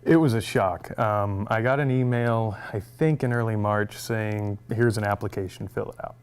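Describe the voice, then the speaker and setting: A man's voice: fairly low pitch, fairly even intonation, normal speed, clear, resonant tone. A young man, a music teacher, answering interview questions in a friendly, upbeat way and laughing easily.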